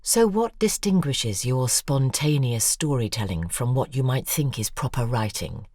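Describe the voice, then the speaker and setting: An actress playing a persuasive, bitter middle-aged woman writer in an audio drama, intense and sardonic, with a husky voice. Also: a bass voice, lively intonation, unhurried, a little mellow, dry recording, clean studio sound, exaggerated voice. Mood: probing, coaxing.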